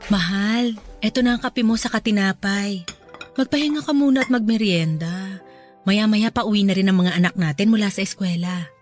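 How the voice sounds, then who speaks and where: Female actor as a caring middle-aged wife, affectionate and soothing, mid pitch, radio drama with faint music. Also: moderate pace, natural voice. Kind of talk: sentimental radio drama acting.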